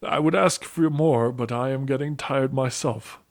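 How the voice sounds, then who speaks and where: A man's voice: mid-range voice, measured pace, natural voice. A man playing a courteous priest and narrating an audio drama. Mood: courteous, measured.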